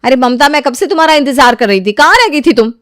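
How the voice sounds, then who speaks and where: A woman's voice: high-pitched, expressive, quick pace, fairly bright tone, no echo, studio quality. A woman playing a hot-tempered, spoiled young daughter-in-law in an audio story, scolding and imperious. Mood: demanding.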